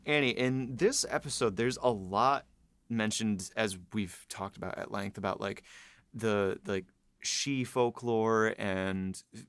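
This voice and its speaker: A man co-hosting a podcast, asking a question in a hesitant, halting way full of fillers. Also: fairly low pitch, fairly bright tone, clear, resonant tone, no room sound. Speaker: podcast co-host.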